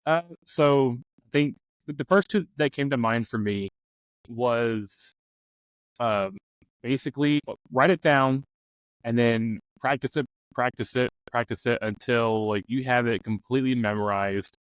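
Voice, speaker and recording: Smooth-voiced man giving casual advice. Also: medium pitch, measured pace, no echo, rough recording. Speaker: game master giving advice as show panelist.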